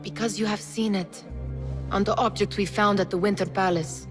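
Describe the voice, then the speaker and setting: A woman's voice: mid-range voice, fairly even intonation, moderate pace, natural voice. An actress playing a wry, determined young woman in a video game drama, speaking formally and with self-assurance. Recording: dramatic music behind the voice.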